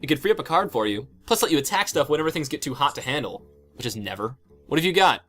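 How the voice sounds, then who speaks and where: A man playing a cool, cocky teenage know-it-all in a webcomic fan dub, casually boastful and deadpan. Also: a little high, fast talker, faint music behind. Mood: cool, teasing.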